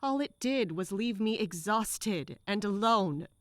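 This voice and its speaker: Woman acting a weary, bitter female guard, slow-spoken. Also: mid-range voice, expressive, no echo. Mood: bitter, miserable. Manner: slow, downcast, self-reproaching. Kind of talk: audio drama acting.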